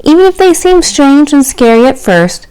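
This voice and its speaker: Woman as a tender high-voiced mother raccoon. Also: very animated, moderate pace, a little mellow, poor audio. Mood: gentle reassurance. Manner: tender, soothing, encouraging. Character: gentle, reassuring mother raccoon.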